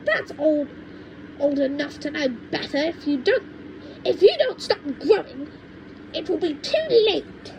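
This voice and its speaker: A woman's voice: expressive, measured pace, darkish tone. A woman voicing a tiny, bossy old grandmother in a storybook, scolding and snapping. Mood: snappish, scolding. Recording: noisy low-quality home microphone recording.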